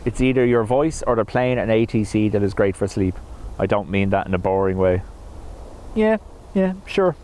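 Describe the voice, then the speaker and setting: A man's voice: fairly low pitch, expressive, moderate pace, a little mellow. A man hosting a live stream, chatting casually and jokingly while reading out viewer comments on a wireless microphone. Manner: chatty, jokey, self-mocking. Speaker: livestream host. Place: outdoors in a van by an airport. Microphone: wireless microphone with wind filter. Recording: wind noise on an outdoor mic.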